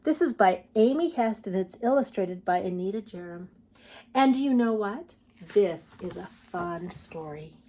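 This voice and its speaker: A woman reading picture books aloud to preschoolers as a storytime host, expressive and putting questions to young listeners. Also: mid-range voice, measured pace. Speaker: children's storytime host and reader. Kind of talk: read-aloud picture book narration for preschoolers.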